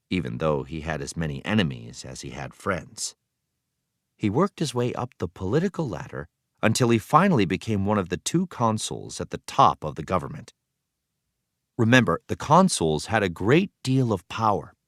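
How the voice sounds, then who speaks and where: A man reading an educational text aloud as a teacher, in an explanatory way with clear phrasing for young learners. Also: low voice, fairly bright tone, no echo, clean studio sound. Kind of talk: read-aloud educational narration.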